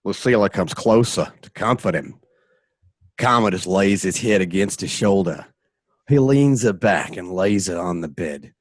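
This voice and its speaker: A man reads scripted narration for an amateur radio drama. His voice is lowish and husky, in a dry recording with little room sound. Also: lively intonation, measured pace, no echo.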